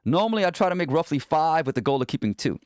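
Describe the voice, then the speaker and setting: A man narrates a self-help audiobook as its author, in a slightly high voice. His pitch moves around a lot as he addresses the listener directly in a conversational, motivational style. The sound is dry, with no room echo. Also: big pitch swings, fast talker. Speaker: author reading own book aloud.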